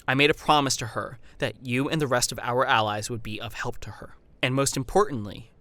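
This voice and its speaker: A man's voice: medium pitch, fairly quick, pushed and bright, dry recording, close to an everyday voice. An actor playing a haunted, earnest survivor who recounts his ordeal in grave, formal, theatrical narration for a horror audio drama. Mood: earnest, pleading. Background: music.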